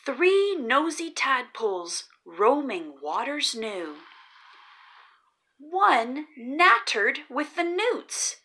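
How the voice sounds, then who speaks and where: A woman reads a picture book to children, very slowly and in a sing-song way, her pitch swooping high and low with every line. Her voice is bright and a little high, and she lingers in a pause before each counted number. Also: very animated, poor audio. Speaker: children's book reader, channel host. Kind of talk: read-aloud storytelling for kids.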